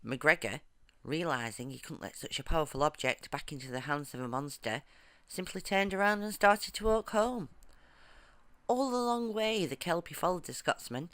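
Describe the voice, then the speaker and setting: A storyteller narrating a folktale from a script in measured, formal storybook phrasing. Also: mid-range voice, a bit bright, smooth, no room sound.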